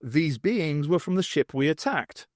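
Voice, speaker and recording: A man's voice: on the high side, lively intonation, unhurried, no echo, clean studio sound. A man voicing tentacled alien data pirates who speak in clipped, formulaic statements for an audiobook. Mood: analytical.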